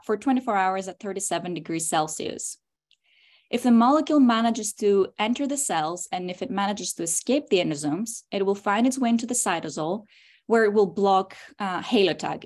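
Woman giving a science talk. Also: medium pitch. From Greece. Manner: steady, explanatory, occasional um and uh. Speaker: graduate student presenter. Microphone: computer mic on a video call.

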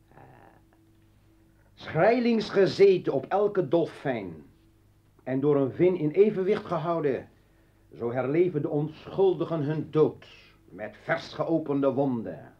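Slow, sardonic revolutionary poet. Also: male voice, a little high, big pitch swings. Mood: declaiming. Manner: mocking, theatrical. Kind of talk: Dutch radio drama acting. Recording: muffled old radio play recording.

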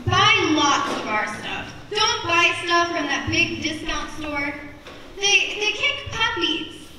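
A woman's voice: very high-pitched, full character voice. A woman in an amateur stage comedy playing an eager, oddball store announcer, projecting into a theatre and recorded from far off. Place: theatre before a live audience. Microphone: far camera. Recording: very echoey hall from far off, noise.

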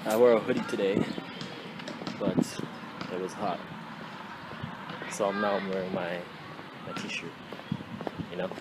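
A man's voice: low-pitched, fairly even intonation, a little slow, long pauses. A man in his twenties rambling casually and slangily to his phone at arm's length outdoors. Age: 20s. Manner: slangy, swearing. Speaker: vlogger. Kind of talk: casual rambling vlog chat. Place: outdoors on residential streets. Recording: noisy handheld phone recording.

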